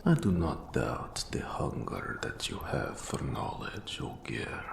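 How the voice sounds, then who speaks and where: A man voicing an old undead wraith mentor in a fantasy audio roleplay, eerie and courtly, with a gravelly, breathy half-whisper and slow, archaic, formal phrasing. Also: rumbling low, big pitch swings, noisy background, heavily acted. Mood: curious, measured. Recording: roomy echo on the voice.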